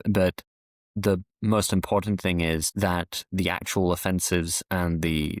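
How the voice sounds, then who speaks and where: A man born in France, a historian answering interview questions at a measured pace, often restarting phrases with fillers. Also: deep voice, no echo, studio quality. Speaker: podcast guest, historian. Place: London, UK.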